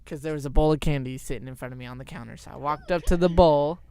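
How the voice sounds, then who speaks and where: A young man telling an improvised story in a halting, informal way. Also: a little high, dark tone. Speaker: storyteller, show host.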